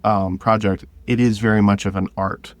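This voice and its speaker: Lower-voiced man, casual podcast guest. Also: level tone, no echo. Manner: earnest, halting, rephrases as he goes. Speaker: podcast guest, creator of a video podcast drama. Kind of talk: casual interview chat.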